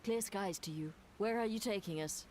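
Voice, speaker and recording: An actress as a brisk adventurer in a fantasy game. She is terse and commanding, with a middling pitch and a no-nonsense manner. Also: a little mellow, a little hiss or hum, close to an everyday voice. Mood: brisk, wary. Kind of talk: scripted fantasy game dialogue.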